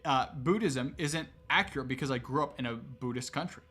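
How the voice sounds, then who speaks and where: A man's voice: mid-range voice, brisk, slightly rough. Casual male streamer answering questions. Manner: relaxed, conversational, explains at length with many "um"s. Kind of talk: casual livestream Q&A.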